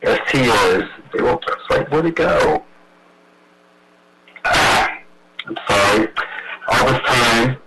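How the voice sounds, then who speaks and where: A man's voice: medium pitch, expressive, takes it slowly, long pauses, very noisy. A man presenting on a video call, talking hesitantly while he sorts out screen sharing.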